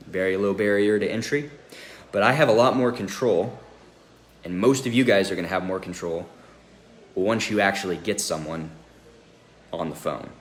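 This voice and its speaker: Low-voiced man coaching in an echoey room. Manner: conversational, instructive, often checking in with "right?". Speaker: sales trainer. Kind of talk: informal training talk. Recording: echoey room with faint noise.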